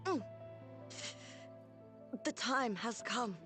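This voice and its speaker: A woman playing a dignified warrior deity and ruler in a video game, speaking in a formal, measured, stately way. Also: a little high, expressive. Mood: weak, strained. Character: solemn, dignified female warrior ruler deity, burdened by duty. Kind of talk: scripted video game voice acting. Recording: game dialogue with music behind.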